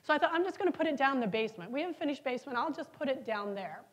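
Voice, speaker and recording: High-voiced woman giving a keynote. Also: racing. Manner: lively storytelling, asks the audience questions. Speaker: keynote speaker. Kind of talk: conference keynote speech. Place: large conference hall, on stage.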